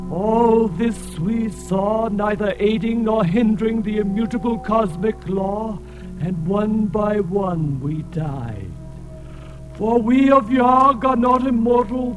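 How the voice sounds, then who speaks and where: Really old sad alien creature, high voice, super slow solemn grand speech, fantasy audio drama w/ music behind. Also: male voice, low-quality recording. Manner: archaic, grandiose, lamenting speech. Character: ancient winged alien being, sorrowful, tormented, solemn. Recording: music laid under the voice.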